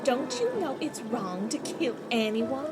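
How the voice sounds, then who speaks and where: A woman playing a kind, frank young girl in a radio play, earnest and gently scolding. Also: fairly high pitch, very animated, measured pace, loud music behind the voice. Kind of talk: theatrical radio play acting.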